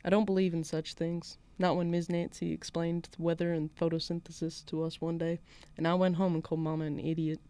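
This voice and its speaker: A woman's voice: on the low side, level tone, fairly quick, few pauses, dark tone, smooth, dry recording, natural voice. A woman voicing a defiant small-town schoolgirl in a put-on Southern US accent, narrating a first-person story. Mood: wry, defiant. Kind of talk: first-person literary fiction read aloud.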